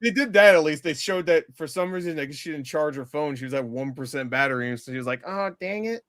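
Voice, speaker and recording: A man's voice: a little high, expressive, fast talker, a bit bright, no room sound, studio quality. A man chatting casually as a movie reviewer, swearing freely and acting out reactions as he goes. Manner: acts out reactions in quoted lines. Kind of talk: casual film review chat.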